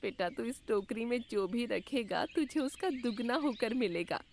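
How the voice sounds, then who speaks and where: A woman's voice: fairly high pitch, lively intonation, moderate pace, a little mellow. A woman voicing a kindly magical old woman in a cartoon fairytale, speaking in a soothing, motherly way. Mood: benevolent, assuring. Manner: soothing, motherly, addresses listener as son. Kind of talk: Hindi cartoon fairytale acting.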